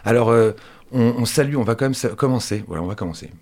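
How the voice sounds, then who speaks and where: A man hosting a conversational arts interview, speaking in halting, digressive phrases as he feels his way to each question. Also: medium pitch, animated, a little mellow. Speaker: radio show host interviewing guests.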